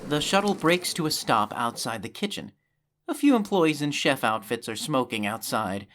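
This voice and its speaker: A man narrates a sci-fi audio drama in a mid-pitched voice. His scripted reading is animated, rising and falling freely, with faint ambience underneath. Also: normal speed, slight background noise.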